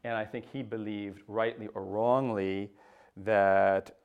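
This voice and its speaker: A middle-aged man speaks in a lowish voice. He answers an interviewer slowly and reflectively, stumbling into frequent restarts and fillers. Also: fairly even intonation, clean tone, narrow, tinny audio. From United States. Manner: hesitant, many restarts and fillers. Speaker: papal nuncio, interview guest.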